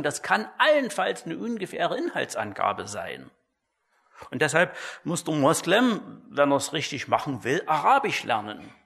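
A man giving an explanatory church talk in a deliberate, didactic way, often tagging his points with a short "ja". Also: a little high, vocal fry, a bit bright, slightly rough. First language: German. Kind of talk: explanatory church lecture on Bible translation.

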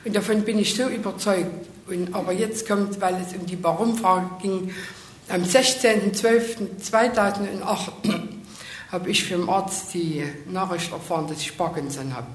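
A woman's voice: steady tone, unhurried. A woman sharing an earnest, emotional personal testimony before a congregation. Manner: earnest, emotional, faith-filled storytelling. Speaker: church member giving testimony. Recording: echoey room with faint rumble.

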